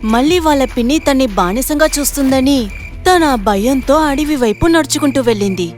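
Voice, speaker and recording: A woman's voice: high-pitched, animated, normal speed, soft background music. A woman narrator reading a scripted moral story.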